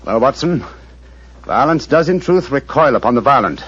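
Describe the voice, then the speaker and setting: A brilliant detective from an old radio play, commanding and precise. His voice is slightly husky and mid-pitched. The sound is dull and lo-fi, like a vintage broadcast. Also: expressive, moderate pace, fairly bright tone. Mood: calm, explaining. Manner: crisp, precise, authoritative. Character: brilliant cool-headed male detective, commanding. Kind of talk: 1940s radio acting.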